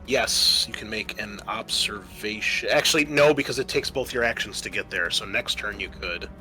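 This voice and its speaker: A man's voice: on the low side, moderate pace, pushed and bright, soft background music, rough recording. A man acting as game master in a tabletop roleplaying game, explaining rules and turns to players.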